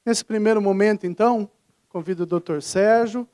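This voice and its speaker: The high-voiced Brazilian man who formally calls each speaker up at a professional congress. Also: normal speed, a little mellow. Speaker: event presenter introducing a speaker. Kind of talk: formal event introduction.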